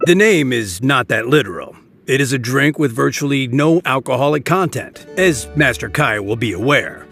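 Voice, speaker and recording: A courteous older butler in a fantasy game, his voice a bit gravelly and pitched slightly high. He speaks formally and lively, while game music plays behind him. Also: moderate pace. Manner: formal, courteous, coughing now and then. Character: polite, dutiful older male butler. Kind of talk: video game cutscene dialogue. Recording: game audio with background music.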